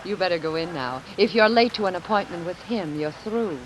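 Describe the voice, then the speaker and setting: A woman's voice: on the low side, fairly quick, lightly acted. Woman playing coy secretary, noisy old radio. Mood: confiding. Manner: playful, arch. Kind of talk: 1940s radio drama acting. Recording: noisy vintage radio recording, rain behind.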